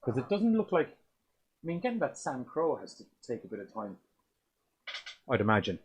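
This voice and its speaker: Male podcast co-host, deep voice, loose rambling delivery with casual swearing. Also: big pitch swings, normal speed, slightly rough. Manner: sweary, rambling, restarts often. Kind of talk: casual movie review chat.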